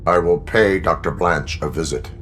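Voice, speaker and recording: A very low-voiced cyborg police officer, stoic and dutiful. He speaks in terse, formal, robotic phrases with no warmth wasted, as in a video game cutscene. Faint noise sits behind him. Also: normal speed. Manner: formal, clipped, deadpan robotic delivery.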